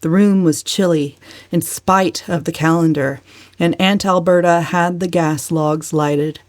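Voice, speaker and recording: A woman narrates a novel in a slightly low voice. Her delivery is measured and expressive, in the manner of a dramatic reading. The recording is very dry, with no room sound. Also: dry recording. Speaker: audiobook narrator.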